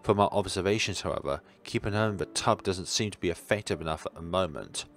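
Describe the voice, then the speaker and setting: A man's voice: deep voice, fast talker, fairly bright tone. A man reading a visual novel's scripted narration and dialogue aloud. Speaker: video game player reading the story aloud.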